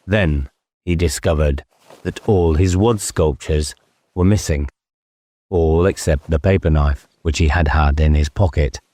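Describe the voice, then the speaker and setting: A male narrator reads a novel in a dramatic, scripted style. His voice is low and dark, and the recording is close and very dry. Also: no echo. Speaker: audiobook narrator.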